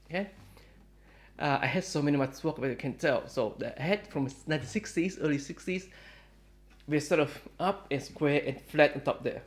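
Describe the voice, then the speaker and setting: A man's voice: fairly high pitch, moderate pace. Rambling, friendly middle-aged man host. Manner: rambling, friendly, many fillers and restarts. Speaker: guitar dealer and channel host. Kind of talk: casual chat to camera. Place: home in Melbourne, Australia.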